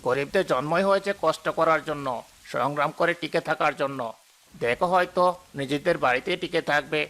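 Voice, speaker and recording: Cartoon casting: male actor as greedy, callous village boss, forceful bright tone, fairly high pitch, scolding. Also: a little fast. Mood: irritated, scolding. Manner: dismissive, scolding, self-important. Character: greedy, callous, deceitful village headman and husband. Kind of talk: cartoon voice acting. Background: faint music.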